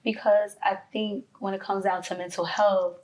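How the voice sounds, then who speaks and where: A woman in a casual roundtable chat, animated, acting out what other people say. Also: medium pitch, normal speed, low-quality recording. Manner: animated, acts out other voices.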